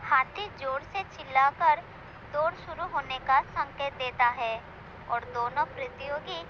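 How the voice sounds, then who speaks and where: A woman's voice: sits high, unhurried. A woman narrator reading a scripted animal story for children. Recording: dull lo-fi sound with clear noise.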